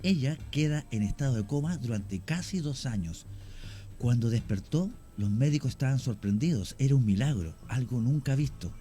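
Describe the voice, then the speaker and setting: A man, a radio guest telling an old ghost legend in a measured way with some "eh" fillers. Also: mid-range voice, expressive, dark tone, faint music behind. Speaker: guest storyteller.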